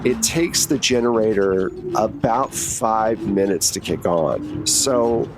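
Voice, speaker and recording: A man's voice: fairly low pitch, expressive, slow pace, background noise. A man voicing a professional woman handler in a tabletop game, briefing in a matter-of-fact, conversational way. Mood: businesslike.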